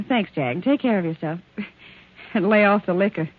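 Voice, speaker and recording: Brisk, polite secretary played by a woman, 1940s radio mystery, slightly husky, thin old-broadcast sound. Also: mid-range voice, animated, narrow, tinny audio, lightly acted. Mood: teasing. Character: brisk, polite female private secretary named Doris.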